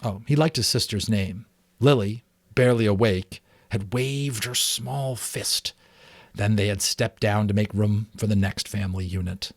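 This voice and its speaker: A man's voice: on the low side, unhurried, no echo. A man reading a story aloud in an informal, dramatic way, sometimes stumbling and correcting himself. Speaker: amateur audiobook reader.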